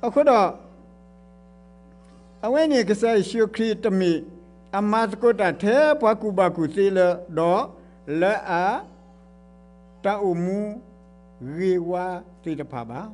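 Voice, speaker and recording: A middle-aged man preaching a sermon with a Karen accent. He speaks into a stand mic on a church stage, swinging between loud exclamations and quiet passages. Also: high voice, takes it slowly, pauses often, faint music behind. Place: church stage before blue curtain. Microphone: stand mic close to mouth.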